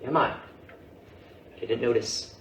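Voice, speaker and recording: A man's voice: unhurried, close to an everyday voice. A man acting an awkward, mild but stubborn father in a naturalistic play reading. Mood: dry, petty. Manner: hesitant, dryly understated. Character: awkward, mild, gently stubborn middle-aged dad. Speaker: actor in a staged reading. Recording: echoey room, distant mic, faint noise.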